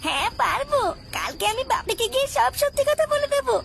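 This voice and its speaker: A woman's voice: very high voice, very animated, fast, gravelly, soft background music, exaggerated voice. A woman voicing an innocent little schoolgirl in a cartoon, talking simply and earnestly. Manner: simple, earnest childlike talk. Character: innocent, frightened little schoolgirl, sweet and truthful.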